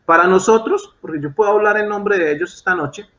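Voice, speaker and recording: A man from Colombia with a Colombian accent, interviewing in a wordy, halting way and repeating himself. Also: high voice, fairly bright tone, highs cut off. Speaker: interviewer, exiled activist. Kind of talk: live interview.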